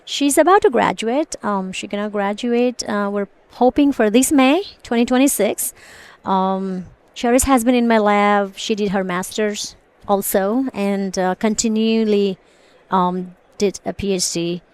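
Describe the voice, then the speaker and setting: A woman's voice: medium pitch, darkish tone, smooth. A middle-aged woman, a professor talking casually in a podcast interview with many ums. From Nepal. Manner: many ums, quick bursts, friendly laughs. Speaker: associate professor, guest.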